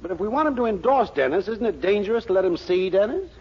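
An actor playing an excitable, scheming middle-aged storekeeper in a 1940s radio comedy, blustering and coaxing with a raspy voice. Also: male voice, high-pitched, fast talker, slight background noise. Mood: cautious. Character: excitable, scheming older storekeeper and campaign manager. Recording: dull 1940s radio recording.

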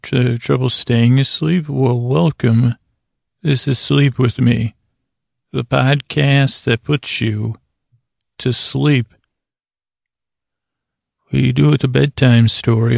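A male podcast host delivers a meandering bedtime monologue. His mid-pitched voice is heavily gravelly and flat in intonation, with hesitant pauses and self-corrections. The sound is close and dry. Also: no room sound.

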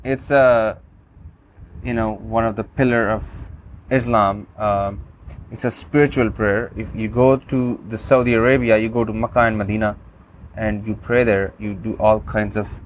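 A man whose first language is Urdu, calmly demonstrating a phone app, with many fillers and restarts. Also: on the low side, moderate pace, a little hiss or hum. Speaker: app reviewer.

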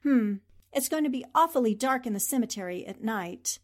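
A woman's voice: on the high side, animated, fairly quick. A woman playing a plucky, wisecracking young newspaperwoman sleuth in a 1940s-style radio drama, with a flippant, arch way of talking. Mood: sly, arch. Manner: talks to herself, flippant, arch.

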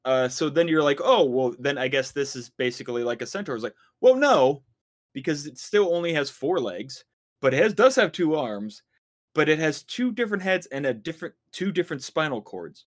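A man's voice: a little high, sing-song, normal speed. A man hosting a casual podcast, introducing the topic and explaining things in a loose, rambling way. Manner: easygoing, rambling, lots of fillers. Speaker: podcast host.

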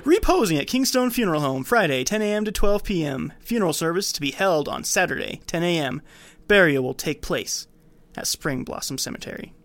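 A man with a slightly high voice hosts a film podcast. He reads a scripted recap and comments casually. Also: animated, a little fast, fairly bright tone, faint music behind. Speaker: podcast host.

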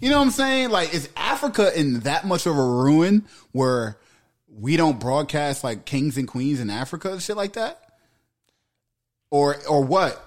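Lively-pitched man, laid-back slang. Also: unhurried. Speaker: podcast co-host. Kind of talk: casual chat. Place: Atlanta east side.

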